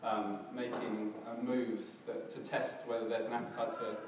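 A middle-aged man with a slightly hoarse, crackly voice, preaching a casual church sermon in a relaxed, self-deprecating way with many fillers and restarts. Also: fairly low pitch, normal speed. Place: church hall, in front of a congregation. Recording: distant, very echoey hall with background noise.

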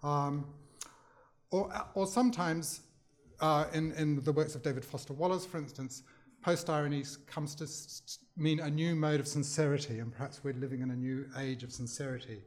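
A man's voice: on the high side, fairly even intonation, moderate pace. A man introducing a panel, speaking hesitantly with restarts and fillers. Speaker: panel chair.

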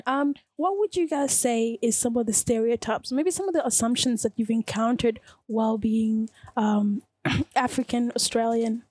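A woman's voice: on the high side, moderate pace, darkish tone, no room sound. A young woman moderating a youth panel, upbeat, thanking each speaker and asking the next question. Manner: upbeat, steering the talk with repeated "okay, awesome".

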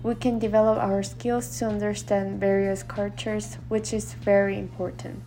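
A woman's voice: mid-range voice, level tone, moderate pace, dark tone, slight background noise, poor audio. A woman student who speaks English as a second language, giving brief, careful interview answers. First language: not English.

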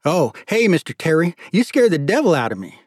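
Man doing a sheepish, high-pitched young man. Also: sing-song, brisk, darkish tone, no room sound, clean studio sound. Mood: startled, friendly. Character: startled, hesitant, casual young man out walking.

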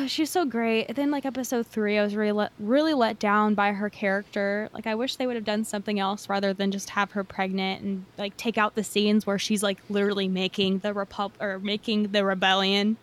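A woman's voice: medium pitch, level tone, fairly quick, hardly any pauses. A woman hosting a podcast, rambling enthusiastically and swearing casually, a bit throaty. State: throaty from coughing. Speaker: podcast host.

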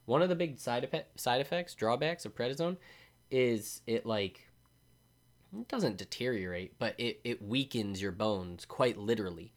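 A man's voice: medium pitch, normal speed. A man hosting a solo podcast, talking casually in a drowsy, rambling way and often breaking off mid-sentence. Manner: sleepy, rambling, self-interrupting. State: drowsy from medication. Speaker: podcast host.

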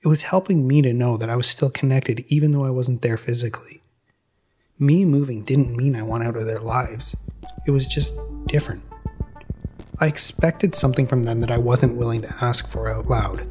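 A man hosting a podcast, narrating in a measured, reflective and personal way. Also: medium pitch, steady tone, over music. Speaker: podcast host.

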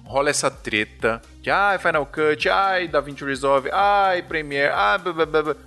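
A man's voice: on the high side, unhurried, vocal fry, pushed and bright, soft background music. A man with a Brazilian accent hosting a podcast in a casual, slangy, jokey chat. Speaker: podcast host.

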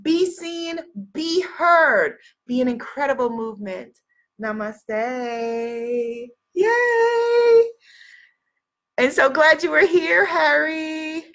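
High-voiced, sniffly woman giving motivational affirmations. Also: expressive, extremely slow, a bit bright. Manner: encouraging, emphatic, repeats phrases for effect. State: sniffly with a cold. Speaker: live-stream host, spiritual life coach. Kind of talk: motivational livestream talk with affirmations.

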